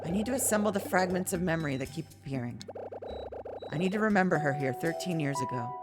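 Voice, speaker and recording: A slightly raspy woman streams a story game, reading its lines and chatting loosely with swears and trailing thoughts. Her pitch swings widely, and game music plays clearly behind her voice. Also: animated, fast talker. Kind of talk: casual livestream chat and reading game text aloud. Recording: music playing in the background.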